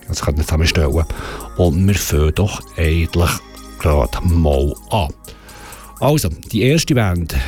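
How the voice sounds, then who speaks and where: A man's voice: low-pitched, a little mellow, breathy edge. A man with a Swiss German accent hosting a dance music radio show, chatting loosely and correcting himself as he goes. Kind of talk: casual music radio presentation.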